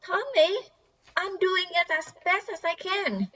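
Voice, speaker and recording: A woman's voice: extremely high pitch, measured pace, bright, forceful tone, exaggerated voice. A woman playing a weary, whiny eight-year-old little sister in an amateur radio play. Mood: grudging. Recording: dull, muffled lo-fi sound.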